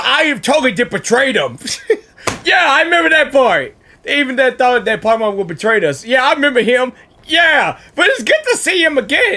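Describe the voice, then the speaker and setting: A woman giving casual, excitable, rambling live reaction commentary as a gaming host. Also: fairly high pitch.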